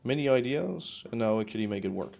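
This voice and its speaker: A man's voice: medium pitch, lively intonation, fast, creaky, darkish tone, soft background music. A young man streaming from his apartment as he builds in a game, chatting casually and rambling with lots of fillers and restarts. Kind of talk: casual chat while building. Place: home room in a concrete apartment.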